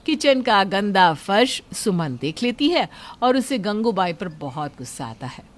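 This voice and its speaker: A woman narrates a story from a script. Her voice sits at a middle pitch, and she delivers it as a storyteller. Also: big pitch swings, measured pace.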